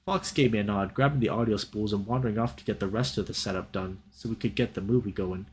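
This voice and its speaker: A man's voice: lowish voice, fast talker, slight background noise. A man narrating a story aloud as a let's play host, sometimes stumbling over a line and rereading it.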